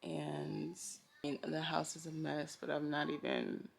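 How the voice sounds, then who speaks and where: A woman's voice: low-pitched, monotone, unhurried, vocal fry, slight background noise. A woman talking candidly and informally in a casual personal vlog, recorded at home. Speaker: vlogger, costume designer. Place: indoors at home.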